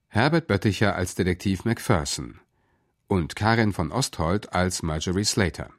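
A male broadcast announcer with a low voice reads scripted credits. His delivery is formal and rather slow, yet with plenty of melodic movement. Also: dry recording, studio quality. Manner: measured, factual. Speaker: radio announcer reading the credits.

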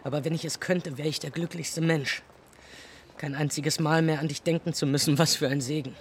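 A man's voice: a little high, fairly even intonation, fairly quick, natural voice. An actor plays a cold young man fed up with a former lover. He is curt, cutting and defensive in a radio drama, with faint noise behind him. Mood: cold, irritated.

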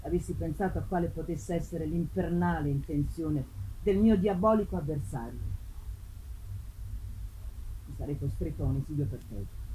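A haunted young gentleman, voiced by an actress, tells his gothic story gravely and theatrically. The tone is dark and mellow, full of heavy pauses, over faint noise. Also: low voice, pitched below the performer's own voice, natural voice, poor audio. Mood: dread, resigned. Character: tormented young gentleman haunted by dread, confessing to his beloved. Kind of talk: dramatic first-person reading of a gothic novel.

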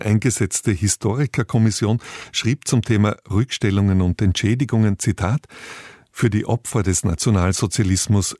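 A man presents a scripted radio introduction in a low voice. His delivery is measured, factual and formal. The sound is dry, as in a studio with no room echo. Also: dark tone.

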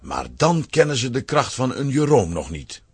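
A man narrating a scripted children's audio play as an expressive storyteller. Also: mid-range voice, normal speed, slightly rough, no echo.

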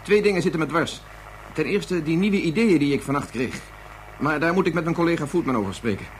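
A man's voice: a little high, expressive, a little fast, natural voice. A man acting a troubled, earnest scientist father full of doubt in a Dutch science fiction radio drama. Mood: troubled, reflective. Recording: slightly noisy radio play recording.

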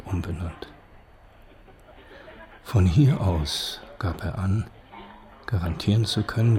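A man acting as a sober narrator, delivering scripted factual radio narration in a measured, formal manner. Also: sits low, a little hiss or hum, close to an everyday voice. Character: sober male documentary narrator.

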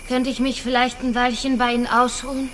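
A woman's voice: on the high side, little pitch movement, a little fast, fairly bright tone, a little hiss or hum, natural voice. An actress playing a slight, weary young wanderer in a German radio drama, hesitant, polite and evasive in her short answers. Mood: guarded, weary.

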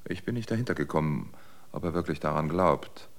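A man playing a wary, solitary survivor in a radio drama, speaking in a measured, matter-of-fact, brooding way. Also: extremely low pitch. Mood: sober, watchful. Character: wary, sober male survivor keeping a solitary log. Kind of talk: German radio drama monologue and dialogue.

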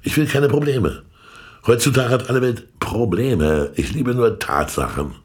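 An actor playing a shrewd, all-knowing informant in a radio play, lecturing in a pedantic, sardonic way. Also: male voice, medium pitch, lively intonation, unhurried, natural voice. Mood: smug, musing. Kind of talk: German radio play acting.

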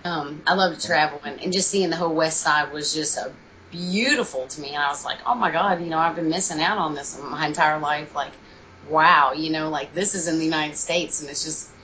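A woman's voice: low voice, brisk, pushed and bright, low-quality recording. A young woman from Kentucky, a podcast guest chatting casually and rambling with many fillers and restarts. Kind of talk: casual interview chat.

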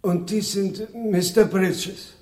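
A man's voice: sits high, fairly even intonation, slow, warm tone, far from the mic. An actor playing a polite, exacting middle-aged Japanese businessman in a German radio drama, speaking with formal, clipped courtesy.

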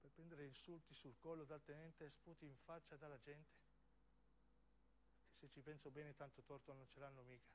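A man's voice: a little high, flat delivery, moderate pace, a little hiss or hum, voice pushed down, natural voice. A man playing a homesick young southern policeman, confiding wearily and plaintively as if to his mother in a radio monologue. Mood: weary, homesick.

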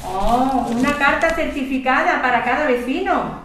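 High-pitched Spanish bar keeper woman acted, very echoey. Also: moderate pace, lightly acted. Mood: curious, hopeful. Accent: Spanish, from Spain. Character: expressive woman bar keeper facing eviction. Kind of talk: amateur radio soap acting. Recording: very echoey room, background noise.

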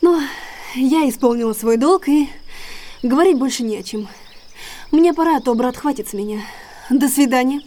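Woman playing an anxious, secretive gentlewoman, soft high voice. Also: moderate pace, pauses often, close to an everyday voice. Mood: guarded, urgent. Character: anxious secretive young gentlewoman, urgently warning. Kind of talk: audio drama acting.

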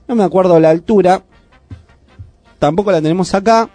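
High-voiced Argentine radio host. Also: male voice, warm tone, no room sound, faint music behind. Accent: Argentine Spanish. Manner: chatty, with frequent "eh" pauses. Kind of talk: casual community radio chat. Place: radio studio.

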